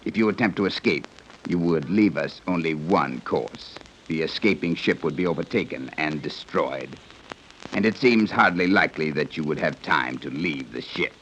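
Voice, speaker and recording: An actor playing a stern, formal guide from a hidden advanced civilization in a 1930s radio serial, explaining things precisely. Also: male voice, extremely low pitch, animated, normal speed, fairly bright tone, heavily acted. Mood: coldly warning. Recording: noisy old 1930s radio recording.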